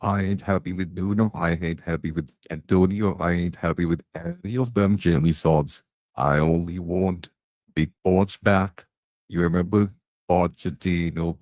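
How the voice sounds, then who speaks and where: A man's voice: deep voice, steady tone, a little slow, a little mellow, dry recording. A man playing a gossiping canteen tea lady, chatty and blunt with plenty of slang. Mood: grumbling.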